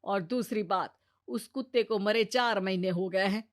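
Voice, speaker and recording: A woman's voice: medium pitch, moderate pace, close to an everyday voice. A woman voicing an exasperated older mother-in-law in a cartoon story, chiding bluntly. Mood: scolding, exasperated. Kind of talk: Hindi moral-story cartoon acting.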